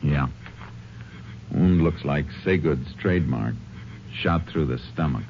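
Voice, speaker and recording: An actor playing a calm, clipped Texas Ranger in a 1950s radio drama, in a dull, noisy old recording. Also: male voice, extremely low pitch, slow, heavily acted. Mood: matter-of-fact. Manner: clipped, matter-of-fact. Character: steady male Texas Ranger lawman, calm and dry.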